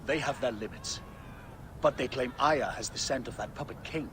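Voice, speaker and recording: An actor playing a brisk, calculating male ally and strategist in a video game. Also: mid-range voice, background noise, natural voice. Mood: terse, scheming. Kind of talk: dramatic video game acting.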